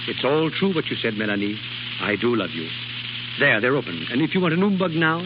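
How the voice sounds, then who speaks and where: An actor playing a suave, proud Frenchman hiding jealous love, formal and measured in 1930s radio drama style. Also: medium pitch, very animated, background noise, lightly acted. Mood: tender, ardent. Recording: muffled 1930s radio broadcast with loud music behind.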